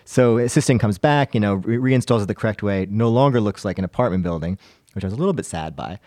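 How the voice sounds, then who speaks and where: A man's voice: on the low side, lively intonation, quick pace, a little mellow, no echo, clean studio sound. A man chatting casually as a podcast panelist, telling anecdotes in a conversational, self-deprecating way.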